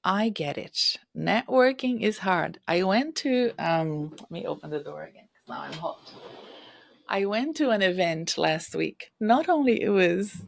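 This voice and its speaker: A middle-aged woman hosting a career-advice podcast, hoarse and husky from illness, speaking directly and coaching listeners with frequent "okay?" checks. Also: fairly low pitch, big pitch swings, measured pace. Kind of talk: solo podcast advice talk. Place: home room.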